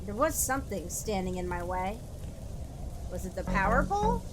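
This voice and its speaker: A woman's voice: medium pitch, big pitch swings, moderate pace, very noisy. A woman playing through a game with casual, wisecracking commentary, reading the text aloud, with music behind her. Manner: sardonic, wisecracking. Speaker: game streamer.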